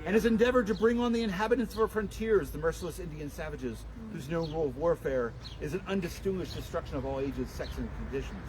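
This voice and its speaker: A man giving a solemn, dramatic public reading of a historical document, with deliberate, declamatory phrasing. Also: on the high side, crowd noise. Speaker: public reader and livestream host. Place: outdoors at a town memorial. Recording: noisy outdoor livestream, traffic and street sounds.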